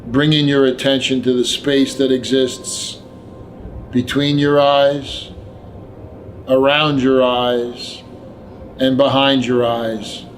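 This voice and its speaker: Very slow-speaking man guiding meditation. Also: medium pitch, level tone, a little hiss or hum. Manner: gentle, unhurried instruction with long pauses. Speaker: meditation guide. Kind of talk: guided meditation, scripted narration. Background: background music.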